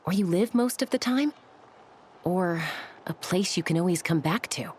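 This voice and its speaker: Actress doing laid-back frank woman in a game, lowish voice, really varied intonation. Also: animated, normal speed, darkish tone, slight background noise, natural voice. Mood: thoughtful. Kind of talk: game voice acting.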